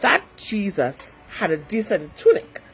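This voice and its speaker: A woman's voice: medium pitch, big pitch swings, unhurried, faint music behind. A woman storyteller playing a casual, greedy Roman soldier with an offhand manner. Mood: offhand, scheming.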